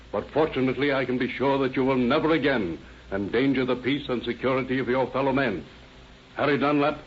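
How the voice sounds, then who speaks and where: A man's voice: medium pitch, natural voice. Stern judge played by a man, old radio sound. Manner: formal, solemn, declamatory. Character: stern male judge passing sentence. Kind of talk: 1930s radio acting. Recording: dull old radio recording, faint noise.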